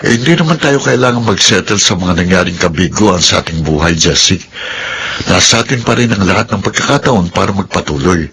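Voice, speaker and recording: An actor playing an earnest, forgiving young Filipino son in a radio drama, speaking deliberately. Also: male voice, low-pitched, lively intonation, noisy background. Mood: hopeful, resolute. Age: young adult. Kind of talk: Tagalog radio drama acting.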